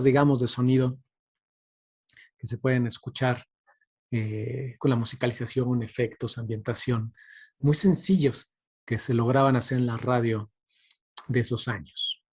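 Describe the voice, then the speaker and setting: A man's voice: mid-range voice, steady tone, moderate pace, darkish tone, slightly rough. A man giving an informal presentation, hesitant and conversational, often checking for agreement. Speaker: guest lecturer. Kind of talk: informal presentation on radio drama.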